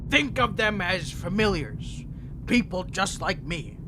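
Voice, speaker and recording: A man's voice: high-pitched, big pitch swings, slow pace, background noise, heavily acted. A man voicing an unhinged, ancient imprisoned vampire who is flirtatious, needy and manipulative, talking in a theatrical, rambling, teasing way in an audio roleplay. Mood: smug, cryptic. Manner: theatrical, rambling, teasing, swinging between smug and pleading. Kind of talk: dramatic character audio roleplay.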